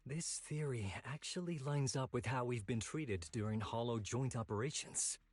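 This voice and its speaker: A woman's voice: very deep voice, moderate pace, darkish tone, breathy edge, dry recording. A woman voicing a serious, guarded tribeswoman in an anime-style game. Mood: measured, serious.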